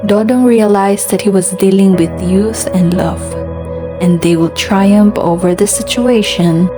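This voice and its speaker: A woman's voice: mid-range voice, steady tone, measured pace. A woman giving scripted narration as the storyteller of a radio drama. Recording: music behind the voice.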